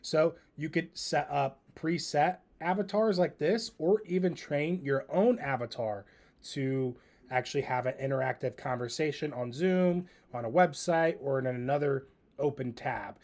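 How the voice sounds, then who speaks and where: A man demonstrating software in a casual spoken tutorial. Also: on the high side, a little slow.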